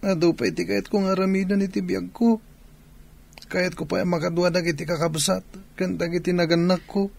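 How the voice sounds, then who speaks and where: A man's voice: sits high, little pitch movement, moderate pace, vocal fry, a little mellow. An actor playing a frail, sickly young man in a radio drama, speaking wearily and plaintively. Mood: pleading. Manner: plaintive, weary. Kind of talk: Filipino radio drama acting. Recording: noisy background ambience.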